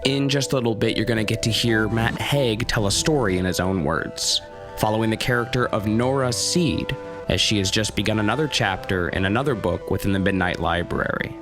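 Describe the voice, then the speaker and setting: A man hosting a radio theater podcast, narrating from a script in a measured storyteller's delivery. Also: fairly low pitch, music in the background. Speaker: podcast host. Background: musical score.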